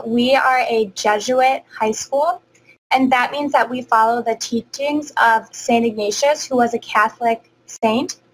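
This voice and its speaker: A teenage girl, a high school senior, earnestly presenting in a video conference with frequent pauses on "um". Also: a little hiss or hum, poor audio. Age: teens. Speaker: student speaker.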